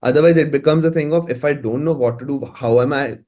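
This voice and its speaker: A man's voice: on the high side, quick pace, dark tone. Indian man chatting casually about his work. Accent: Indian English. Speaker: music producer and musician being interviewed. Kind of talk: casual conversational interview.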